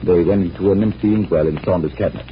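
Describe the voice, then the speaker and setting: A man playing a calm, assured magician detective, measured and instructive, in old-time radio drama style. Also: sits low, lightly acted. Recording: muffled vintage radio recording.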